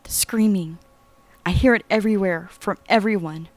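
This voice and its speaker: A woman's voice: mid-range voice, normal speed, faint music behind, lightly acted. Woman acting a frantic, frightened young woman. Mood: hysterical panic. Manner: urgent, agitated, pleading. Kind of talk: horror audio drama acting.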